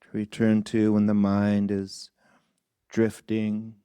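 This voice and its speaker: Very slow, low-voiced man guiding meditation. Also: monotone, dark tone, clean tone, no echo, studio quality. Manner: unhurried, with long pauses between short phrases. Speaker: meditation teacher. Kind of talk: guided meditation.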